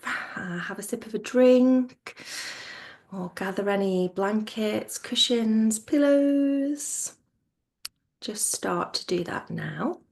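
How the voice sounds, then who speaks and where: Slow, soft-spoken woman guiding relaxation. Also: medium pitch, gravelly. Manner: soothing, gentle, unhurried with pauses. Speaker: yoga nidra teacher. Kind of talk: guided relaxation instruction.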